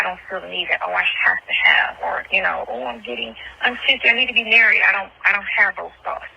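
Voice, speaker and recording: A woman's voice: fairly low pitch, vocal fry, pushed and bright, breathy edge. A woman chatting casually and bluntly in slangy, candid talk on a recorded phone call. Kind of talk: casual intimate phone chat.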